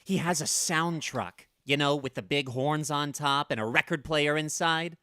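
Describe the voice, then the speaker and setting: A man's voice: fairly high pitch, a little slow, clean tone. A man playing an eager, scheming, boyish teenage son in a vintage-style radio sitcom. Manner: excitable, boyish.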